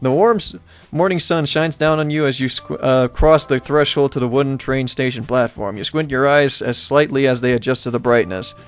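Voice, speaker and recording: A man's voice: medium pitch, moderate pace, clear, resonant tone. Casting: male let's player, storybook narration mixed with offhand wry asides, game music underneath. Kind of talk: reading visual novel aloud with casual commentary.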